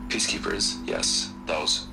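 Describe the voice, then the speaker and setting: An actor playing an evasive, wheedling corporate tycoon in a video game cutscene. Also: male voice, measured pace, loud music behind the voice, lightly acted, rough recording. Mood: defensive. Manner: defensive, wheedling, flustered. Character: rich, evasive male tech tycoon, middle-aged. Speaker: corporate executive.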